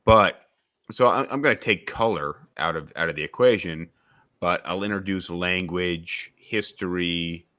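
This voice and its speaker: A man's voice: low voice, sharp tone. A young man from upstate New York hosting a casual podcast discussion, rambling with many restarts and filler phrases. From suburbs of Albany, New York. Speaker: podcast host.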